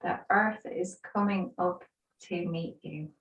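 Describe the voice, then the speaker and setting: Slow, echoey woman guiding relaxation. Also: fairly low pitch, highs cut off. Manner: soothing, gentle, unhurried with pauses. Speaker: yoga instructor. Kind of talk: guided relaxation meditation. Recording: roomy echo, distant microphone.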